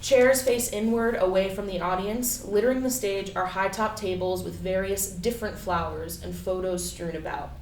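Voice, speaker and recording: A woman's voice: medium pitch, moderate pace, hardly any pauses, darkish tone. Echoey woman narrator reading stage directions. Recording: distant, echoey room, faint noise.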